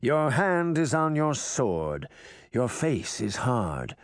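A man voicing a menacing magic-mirror villain who mocks in a slow, theatrical way. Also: medium pitch, sing-song, dry recording, studio quality. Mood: mocking.

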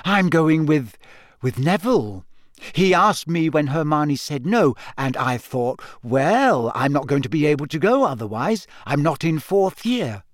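Shy younger schoolgirl played by a man, audiobook, earnest and soft-spoken, recorded dry. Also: on the high side, very animated, unhurried, no echo. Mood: miserable. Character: quiet, loyal, easily embarrassed younger teenage girl. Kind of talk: audiobook character acting.

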